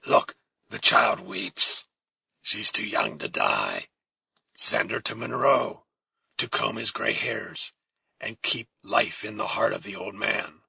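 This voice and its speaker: Guy doing a fierce villain, taunting slow and mean, close dry reading voice. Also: low voice, animated, vocal fry, pushed and bright, husky, dry recording, heavily acted. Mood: menacing.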